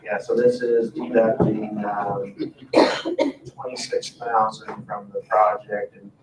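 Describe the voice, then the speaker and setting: A man presenting agenda items informally at a council meeting, in a halting, matter-of-fact way. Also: fairly low pitch, little pitch movement, darkish tone. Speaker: town staff member presenting agenda items. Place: indoor town council meeting room. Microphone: distant room microphone. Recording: echoey meeting room, distant mic, faint noise.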